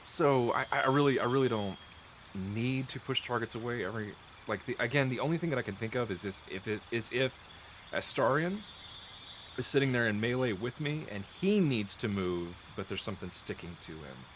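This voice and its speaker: A man's voice: mid-range voice, lively intonation, moderate pace, slight background noise. A man explaining game spells as he plays, rambling and correcting himself, with a dry throat and frequent coughs, close to a desk mic. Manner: chatty, rambling, restarts and self-corrections, thinks aloud. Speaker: gameplay streamer. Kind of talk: explaining game mechanics while playing.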